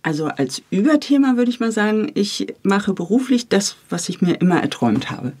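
A woman's voice: mid-range voice, sing-song, fairly quick, a little mellow, slightly rough. A woman talking casually as an interview guest, recorded in a studio. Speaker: interview guest, radio editor.

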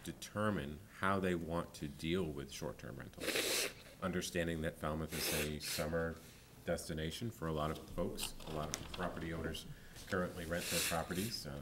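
A man in his forties, a town planning official, answering a board's questions at a public meeting, often pausing with ums and restarting sentences. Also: a bass voice, steady tone, very noisy. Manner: hesitant, many ums and restarts. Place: town hall meeting room. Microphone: desk microphone.

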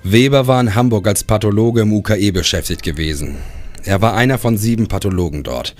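An actor playing a troubled police detective who narrates in a matter-of-fact, brooding way in a crime audio drama. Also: male voice, on the low side, brisk, darkish tone, faint music behind, lightly acted.

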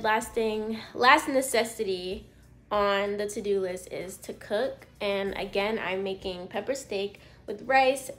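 A woman in her twenties from California, chatting casually through her day in a vlog, rambling with lots of fillers, filmed on a phone at arm's length in a small apartment. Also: mid-range voice. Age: 20s. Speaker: lifestyle vlogger. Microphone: phone camera held at arm's length.

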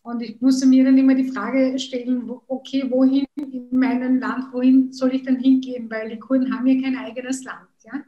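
High-voiced woman with many hesitations, roomy echo. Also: flat delivery, moderate pace, few pauses, muffled recording. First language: Kurdish. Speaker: politician, interview guest. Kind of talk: video call interview. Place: room at home. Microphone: video call.

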